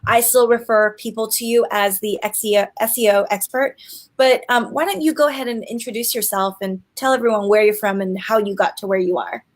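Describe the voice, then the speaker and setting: A woman's voice: medium pitch, fairly even intonation, fairly quick. A woman in her forties hosting an online interview show. She talks in a bubbly, chatty way with frequent "um" fillers. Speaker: interview host and business coach.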